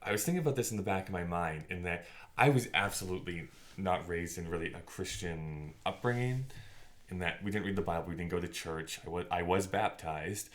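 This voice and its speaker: Man, low deep pitch, casual podcast discussion, informal, thinks out loud. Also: brisk. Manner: informal, self-correcting, musing aloud. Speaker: podcast co-host.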